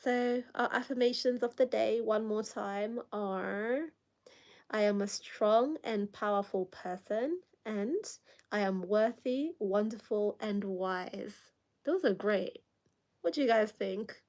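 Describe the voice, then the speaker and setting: A young woman speaks with a Kenyan accent, chatting casually and reading comments aloud. Her voice sits in a middle pitch for a woman. A lingering cold leaves her coughing now and then. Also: slow, a little mellow. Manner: chatty, laughs often, reads viewer comments aloud. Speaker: livestream host. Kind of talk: casual late-night livestream chat.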